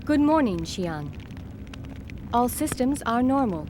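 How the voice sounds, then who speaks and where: An emotionless female android from a video game, speaks with measured, formal politeness. Every phrase is flat and literal, over clearly audible background noise. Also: a little high. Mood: impassive, courteous.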